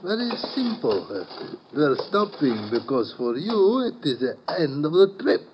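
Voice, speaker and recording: Menacing male double-crosser, raised pitch, swinging intonation, sardonic formal phrasing, radio thriller, hissy noisy recording. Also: sing-song, measured pace. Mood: smug, menacing. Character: menacing, smug male farmer turned double-crossing gunman. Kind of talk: old-time radio drama acting.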